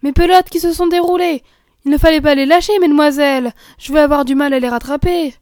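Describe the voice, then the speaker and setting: A stubborn old country gamekeeper hollers in a blunt, rustic way, as a woman narrator puts him on. The voice is loud and raised rather than deep, close and dry like a storybook reading. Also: steady tone, brisk, dry recording. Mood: exasperated.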